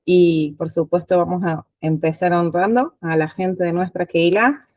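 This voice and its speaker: A woman's voice: lowish voice, steady tone, a little slow, a little mellow, no room sound, studio quality. A woman, hosting an interview podcast and asking her guest questions in an informal way. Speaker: podcast host.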